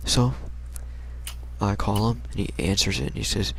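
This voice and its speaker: A young American man with a low-pitched voice full of vocal fry. He tells an everyday story casually, in slangy, halting fragments that ramble along. There is a faint layer of noise behind him. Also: steady tone. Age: young adult. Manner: rambling, slangy, hesitant delivery.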